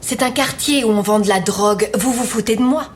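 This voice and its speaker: A woman's voice: a little high, fairly quick, slight background noise, natural voice. A woman playing a brusque, impatient detective. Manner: brusque, sharp-tongued. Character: impatient, blunt female detective. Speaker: police detective. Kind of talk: French dub of a TV crime drama.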